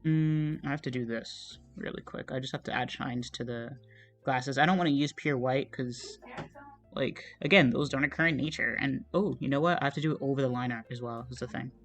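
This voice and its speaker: A young man talks while he draws at his desk, his voice sitting at an ordinary middle pitch. He meanders from thought to thought in a relaxed, self-deprecating way, full of fillers and half-finished sentences. Faint music plays quietly behind him. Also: brisk. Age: young adult. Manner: rambling and meandering, many fillers and trailing-off thoughts. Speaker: art streamer. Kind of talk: casual chat while drawing on a livestream.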